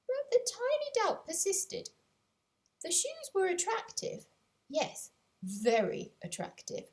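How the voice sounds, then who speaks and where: Expressive woman storyteller with lively pitch. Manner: expressive storytelling with deliberate dramatic pauses. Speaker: author reading their own audiobook. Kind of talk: scripted children's story narration.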